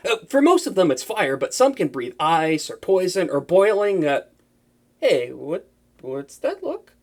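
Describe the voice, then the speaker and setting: Woman acting a flustered, animated young wizard woman. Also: mid-range voice. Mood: giddy. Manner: flustered stammering, hesitant, breathless enthusiasm. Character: nervous, smitten young woman wizard, bookish and excitable. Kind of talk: intimate roleplay audio drama addressed to listener.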